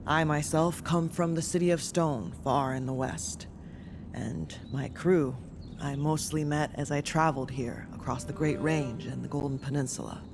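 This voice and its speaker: A man playing a brisk, candid foreign-born carpenter who leads a travelling crew in a video game cutscene. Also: a little high, steady tone, creaky, noisy background. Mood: earnest. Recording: game music behind the voice.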